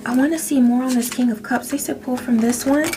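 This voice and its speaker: A woman's voice: high voice, fairly quick, noisy background. A woman giving a spiritual tarot reading, speaking in a soothing, affirming way to her listener. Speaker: tarot reader. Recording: music playing behind.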